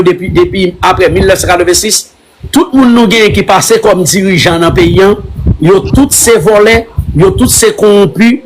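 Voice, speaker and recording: Haitian man, high voice, halting French. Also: a little hiss or hum, rough recording. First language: Haitian Creole. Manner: halting, repetitive French, with many Creole words. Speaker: interviewee. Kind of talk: live online conversation.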